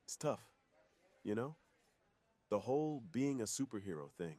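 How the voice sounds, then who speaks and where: A man's voice: fairly low pitch, very animated, a little slow, mellow, no echo. A man playing a weary, secretive superhero on a date, earnest and confiding, in an intimate ASMR roleplay. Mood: confiding, wistful.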